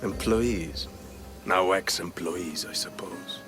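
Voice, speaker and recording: An actor playing a smug, suave, ruthless schemer with a wry, aloof manner, in a game cutscene. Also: male voice, low-pitched, takes it slowly, over music. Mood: cool, aloof. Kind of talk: dramatic game cutscene dialogue.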